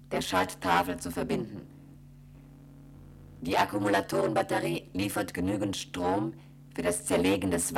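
Computer voice, very deep, gravelly, dry and instructional, radio play. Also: male voice, a little hiss or hum, rough recording. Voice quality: low gravelly monotone. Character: station computer reading emergency instructions.

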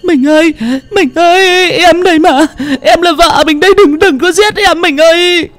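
A man voicing a frantic middle-aged village wife in a shrill, pleading falsetto. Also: sing-song, moderate pace, warm tone, soft background music. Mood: terrified pleading.

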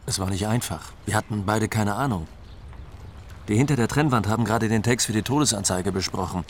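A man's voice: on the low side, fairly quick, a little hiss or hum, lightly acted. An actor playing a blunt, wry man recounting events in a dry, interview-like radio drama narration. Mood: dry, exasperated. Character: blunt, wry male friend recounting funeral arrangements.

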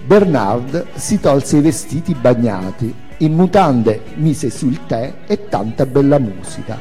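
A man's voice: mid-range voice, lively intonation, slow pace, dark tone, big-room sound, faint music behind. A man giving a theatrical reading of autobiographical prose as its author and narrator, with dramatic, emphatic phrasing.

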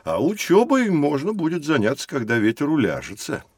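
An actor playing a kindly, enthusiastic professor, explaining things expressively to children in a scripted educational audio drama. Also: male voice, a little high, huge pitch swings, a little fast, darkish tone, husky, no room sound, exaggerated voice. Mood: instructive, concerned. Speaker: professor, teacher.

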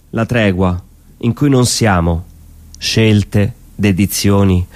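A man reciting poetry in a solemn, dramatic style, delivering it phrase by phrase with measured pauses. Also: fairly low pitch, a little mellow. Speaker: poetry performer.

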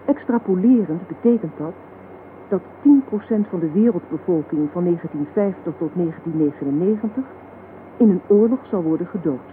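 Solemn, factual female radio narrator, played by an actress, lowish pitch, measured delivery, tinny sound. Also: lively intonation, close to an everyday voice. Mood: grave, factual. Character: grave female narrator of a war satire. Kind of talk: scripted radio drama narration. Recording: tinny old radio recording, faint hiss.

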